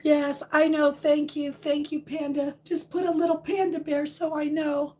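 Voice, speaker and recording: A middle-aged woman with a hoarse voice, chatting casually on a livestream and greeting viewers by name. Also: sits high, level tone, moderate pace. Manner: warm, rambling, reads out viewer names. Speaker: livestream host. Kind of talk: casual live chat with viewers.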